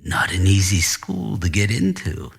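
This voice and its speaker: A man voicing a sinister, serpent-like older male school principal who speaks with slow, menacing courtesy. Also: low-pitched, big pitch swings, vocal fry, breathy edge, heavily acted. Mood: silky menace.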